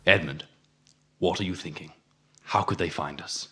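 A man's voice: low voice, normal speed, sharp tone. A man playing a zealous, commanding mantis-man cult leader in an audio drama, oratorical and imperious. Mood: curt, scheming.

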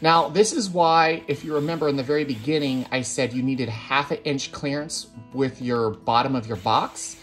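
A man's voice: medium pitch, moderate pace, hardly any pauses, faint music behind. A man in his thirties giving casual step-by-step instruction as a tutorial host, recorded indoors in a workshop. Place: indoor woodworking shop. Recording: roomy echoing workshop sound.

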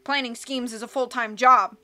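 A woman's voice: on the high side, steady tone, normal speed, pushed and bright. A woman voicing a blunt male henchman who defers to his boss, in a storybook. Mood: grumbling, deferential.

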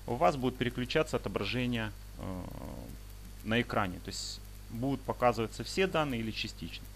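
Guy walking thru software on screen, creaky voice, kinda halting, noisy recording w clicks. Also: fairly low pitch. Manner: explanatory, step-by-step, halting. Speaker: instructor demonstrating paragliding flight software. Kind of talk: unscripted screen-recording tutorial.

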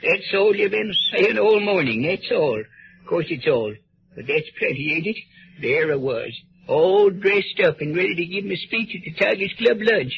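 A man's voice: high-pitched, animated, moderate pace, gravelly. A man playing an aggrieved, hot-headed small-town detective with a rural American accent in a folksy 1930s radio comedy. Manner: folksy, blustering, repetitive. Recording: muffled, noisy 1930s radio recording.

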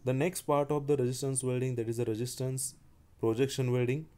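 A man giving a slide-based technical lecture, speaking haltingly with frequent restarts and fillers. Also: medium pitch, flat delivery, warm tone, clear, resonant tone. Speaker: engineering lecturer.

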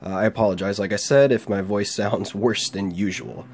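A man's voice: on the low side, steady tone, normal speed, darkish tone, slight background noise. A young man with a sore throat chatting casually through a game, reading its lines aloud. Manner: chatty, laughs while reading. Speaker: game let's play host. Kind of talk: casual gameplay commentary, reading dialogue aloud. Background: faint game music.

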